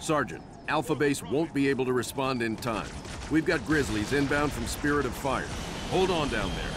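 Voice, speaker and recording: An actor playing an authoritative warship captain in a game cinematic, measured and decisive. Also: male voice, medium pitch, lively intonation, heavy background noise, close to an everyday voice. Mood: steady command.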